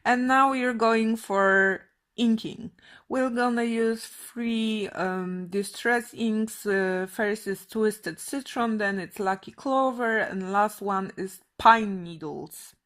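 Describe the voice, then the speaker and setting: A woman's voice: medium pitch, takes it slowly, a bit bright. A woman in her thirties from Poland with a Polish accent, talking through a card-making project in her home craft room, with many hesitant fillers. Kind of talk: casual crafting tutorial. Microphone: fixed camera, seated facing it.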